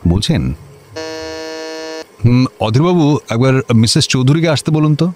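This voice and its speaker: An actor playing an officious, impatient district magistrate in a comic radio drama, curt and full of questions. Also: male voice, medium pitch, lively intonation, a little slow, music in the background, natural voice.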